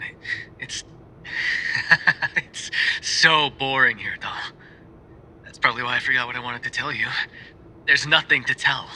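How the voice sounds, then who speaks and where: A man playing a tender, sheepish, bookish mafia boyfriend in an ASMR roleplay, speaking softly and hesitantly as if on a phone call, often dropping to a whisper. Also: medium pitch, a little hiss or hum. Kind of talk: ASMR roleplay voice acting, intimate phone voicemails.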